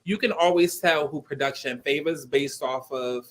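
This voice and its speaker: A woman's voice: low voice, moderate pace. A woman hosting a casual call-in chat, talking agreeably and chattily about a reality show. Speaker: show host.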